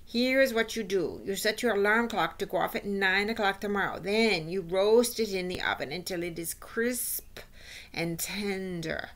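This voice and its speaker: A woman's voice: mid-range voice, normal speed, fairly bright tone, natural voice. Woman acting a bossy, scornful witch leader. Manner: imperious, scolding, odd foreign-style grammar.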